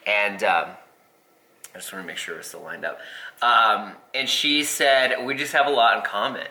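A man in his thirties telling stories in a joking, animated way in casual chat. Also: fairly low pitch, normal speed. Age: 30s. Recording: roomy echo.

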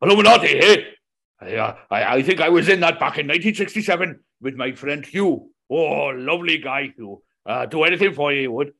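A man's voice: fairly high pitch, expressive, fairly quick, creaky, bright, forceful tone, heavily acted. A man voicing a chatty older Welsh man who rambles wistfully in a lilting put-on Welsh accent, for a comedy podcast.

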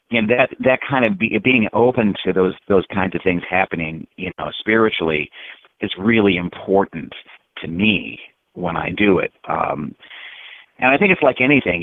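Low male voice on a phone-like line. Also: no echo. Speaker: musician and songwriter, interview guest. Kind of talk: casual, reflective interview talk. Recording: thin, dry, phone-like line.

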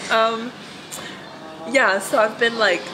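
A woman's voice: a little high, steady tone, slow pace. A young woman with an American accent, chatting as a guest, rambling with fillers and laughing often. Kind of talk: casual chat. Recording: noisy background ambience.

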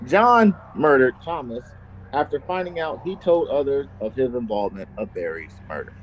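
A man's voice: medium pitch, lively intonation, moderate pace, slight background noise, low-quality recording. Laid-back man telling true crime. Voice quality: laid-back, laughs often, conversational. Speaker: podcast host telling the story. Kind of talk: casual true-crime chat.

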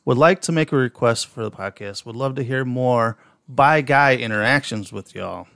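A man with an Upper Midwest American accent chats as a podcast co-host, telling stories and reading out listener messages. His voice sits at a middle pitch. The sound is dry and close. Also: normal speed, creaky, a bit bright, no room sound. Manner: storytelling, reads out messages, profane. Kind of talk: casual podcast chat.

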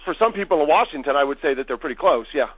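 A man hosting a casual chat, telling animated stories and swearing now and then. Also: on the high side, rapid-fire, husky, no echo. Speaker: podcast host. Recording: dry, dull lo-fi sound.

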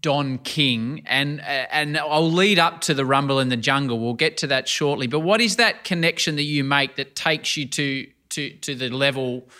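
A man's voice: a little high, normal speed, few pauses, pushed and bright. A man hosting an interview, asking easygoing, polite questions. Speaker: podcast host.

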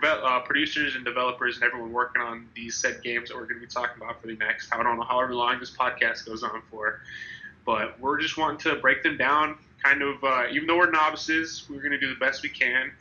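A man's voice: mid-range voice, bright, forceful tone, muffled recording. A young man in his twenties with a slightly congested, nasal voice from a cold, hosting a casual podcast chat and rambling with many restarts. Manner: rambling, restarts, laughs easily. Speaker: podcast host.

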